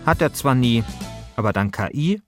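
A man's voice: medium pitch, expressive, moderate pace, soft background music. A man reading colloquial scripted narration as the narrator of a podcast.

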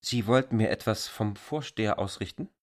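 An actor playing a defiant newcomer who stands up to officials. The man's voice is fairly low and very dry, with curt, articulate, self-assured phrasing suited to a radio play. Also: moderate pace, no room sound, lightly acted. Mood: impatient. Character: defiant, proud newcomer pushing back against village officials. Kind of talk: German radio drama acting.

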